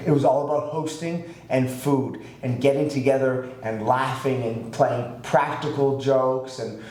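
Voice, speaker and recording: A man's voice: medium pitch, a little slow. A man in his thirties from Canada, a chef telling his story in a casual podcast chat with lots of fillers. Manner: relaxed, rambling storytelling with many fillers. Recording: echoey room, faint ambient noise.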